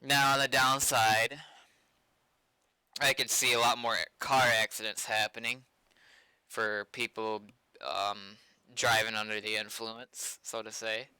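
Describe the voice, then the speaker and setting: A man's voice: fairly low pitch, flat delivery, slow, bright, forceful tone, smooth, dry recording, low-quality recording. A man with an American accent chatting casually and loosely, in a hesitant, rambling way, like a developer streaming while he works. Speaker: game developer live-streaming.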